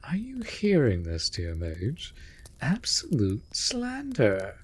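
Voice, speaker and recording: Man playing a slow-talking, cheeky raven familiar. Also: mid-range voice, huge pitch swings, slightly rough, exaggerated voice. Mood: playful teasing. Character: male raven familiar, cheeky, affectionate winged teaser. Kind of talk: scripted comfort audio roleplay.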